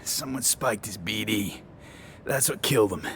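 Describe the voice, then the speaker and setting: A man's voice: lowish voice, moderate pace, vocal fry, fairly bright tone, slight background noise. A man playing a jaded, street-smart mercenary in a video game, blunt and laconic. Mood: matter-of-fact. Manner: laconic, blunt, short sentences.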